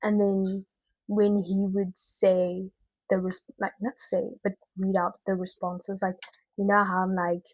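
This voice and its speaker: A woman giving a casual, chatty video review in an expressive, slangy way with emphatic repetition. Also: mid-range voice, measured pace, slightly rough, dry recording, poor audio.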